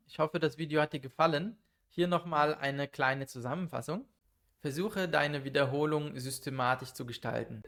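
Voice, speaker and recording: A man teaching German in a calm, methodical monologue, speaking slowly and clearly for learners. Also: medium pitch. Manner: calm, methodical, lists numbered tips.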